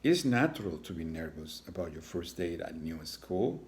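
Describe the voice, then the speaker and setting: A man's voice: deep voice, very animated, normal speed, darkish tone, slight background noise, pitched below the performer's own voice. A man voicing a caring iguana mother in a storybook, coaxing and reassuring her child. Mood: comforting.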